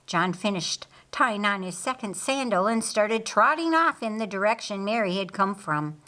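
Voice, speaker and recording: A woman's voice: medium pitch, animated, moderate pace, bright, forceful tone. A woman reading a scripted story aloud as an audiobook narrator, with dramatic storytelling delivery.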